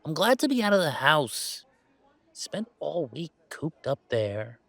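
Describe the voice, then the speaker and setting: A man's voice: on the high side, very animated, measured pace, vocal fry, a bit bright, no room sound, heavily acted. A man playing a weary but fond werewolf gamer who streams, bantering and complaining in a conversational roleplay audio. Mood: mock defensive. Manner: sighing, bantering, mock-defensive complaining. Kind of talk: one-sided roleplay audio drama, conversational.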